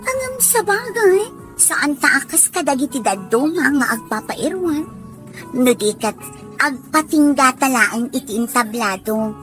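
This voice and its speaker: A high-voiced young working woman played by an actress speaks in a scripted radio drama. She is friendly and plain-spoken, with faint music behind her. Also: big pitch swings, a little slow, a bit bright, exaggerated voice. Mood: earnest.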